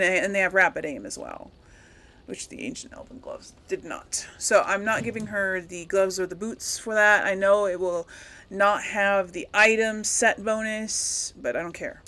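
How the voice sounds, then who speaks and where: A woman's voice: medium pitch, a bit bright. A woman doing casual game commentary, rambling and thinking aloud as she talks to herself. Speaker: gaming video host.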